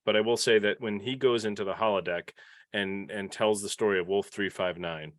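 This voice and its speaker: A man's voice: on the low side, fairly even intonation, a little fast, no echo. A man talking casually as a podcast panelist, often restarting the beginnings of his sentences. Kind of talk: casual panel discussion of a TV series.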